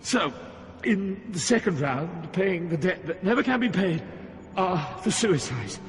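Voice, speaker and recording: A man's voice: high voice, animated, measured pace. An actor playing a stern ghostly poet guide through Hell in a dramatic audio drama, grave and declamatory as he instructs. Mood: solemn, lecturing. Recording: long cavernous reverb, music underneath.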